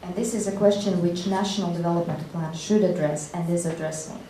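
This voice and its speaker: A woman speaking as a panelist, explaining in a measured way during a discussion. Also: fairly low pitch, steady tone, warm tone. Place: indoor panel discussion room. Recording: distant echoey room, faint noise.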